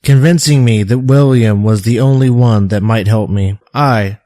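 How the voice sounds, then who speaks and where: A man playing a tormented survivor who recounts his painful past in a halting, reflective way in an audio drama. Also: mid-range voice, mellow, dry recording, clean studio sound, natural voice. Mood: haunted, regretful. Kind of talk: audio drama dialogue, confessional storytelling.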